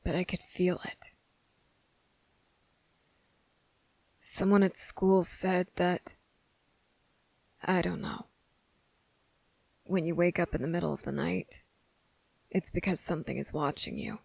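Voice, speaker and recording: A woman voicing a guarded, fed-up young boy in a hushed, halting ASMR roleplay monologue. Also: fairly low pitch, lively intonation, creaky, breathy edge, dry recording, exaggerated voice. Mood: wary, brooding. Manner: hushed, halting, pauses mid-thought.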